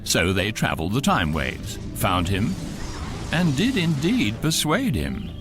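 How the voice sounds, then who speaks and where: A man's voice: medium pitch, wildly swooping pitch, a little slow. A man with a British accent as the urbane, wry voice of a galactic guidebook, narrating a scripted radio comedy. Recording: faint music under the voice.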